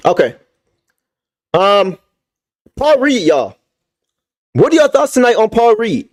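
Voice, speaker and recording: A young man with a voice going hoarse, hosting a livestream and venting about basketball, repeating his points with emphasis and talking to the chat. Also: sits high, sing-song, slow. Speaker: sports fan channel host. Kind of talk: livestream sports rant.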